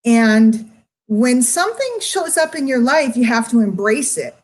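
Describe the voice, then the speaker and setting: Middle-aged female online marketer, slightly high-pitched, emotional, unscripted monologue to followers, confiding yet emphatic delivery. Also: big pitch swings, darkish tone. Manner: confiding, emphatic, with drawn-out words and pauses. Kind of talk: candid livestream monologue to followers.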